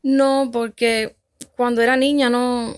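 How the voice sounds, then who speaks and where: A woman from Cuba in her thirties, telling her personal story as a guest in a casual conversation, halting and hesitant with many restarts. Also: on the high side, little pitch movement.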